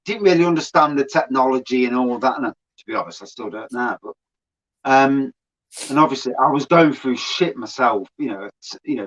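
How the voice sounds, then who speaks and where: Man, guest beer reviewer, casual chat, swears a lot, laughs often, dull lo-fi call audio. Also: mid-range voice. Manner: swears freely, laughs while telling stories.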